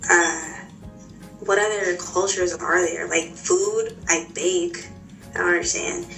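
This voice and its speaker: A young woman from Hampton, Virginia, a student, answering slowly and unsurely. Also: lowish voice, steady tone, creaky, fairly bright tone, soft background music. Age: young adult. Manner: slow, halting, unsure. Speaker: student interviewee. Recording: echoey, distant from mic.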